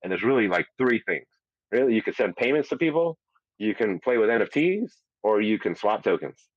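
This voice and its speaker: A man's voice: fairly low pitch, sing-song, brisk. A man hosting a casual live audio show, talking in a rambling, profane way with many restarts, heard over a dull, lo-fi online audio chat. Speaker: host.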